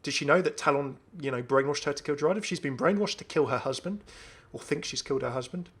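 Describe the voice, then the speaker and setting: A man's voice: fairly high pitch, quick pace, a bit bright, husky. A man giving casual, off-the-cuff video game commentary as a host, chatty and often musing aloud. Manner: chatty, musing aloud, asks himself questions.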